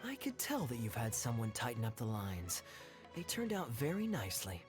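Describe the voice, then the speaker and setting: A suave, easygoing game adventurer with playful charm. His pitch sits in the middle and swings expressively as he teases in smooth, courteous phrases. Music plays underneath. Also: wildly swooping pitch, normal speed. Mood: relaxed, charming. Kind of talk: video game voice acting.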